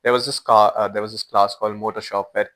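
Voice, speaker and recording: A man's voice: fairly low pitch, flat delivery, fast talker, a bit bright. A man in his early twenties with an Indian accent, chatting casually as a podcast guest in informal, slangy speech. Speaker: podcast guest, former exchange student.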